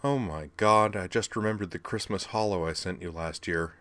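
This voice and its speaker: A man voicing an exasperated, sarcastic young woman, his voice lifted in pitch. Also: normal speed, a bit bright, dry recording. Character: exasperated young woman, sharp-tongued tech worker.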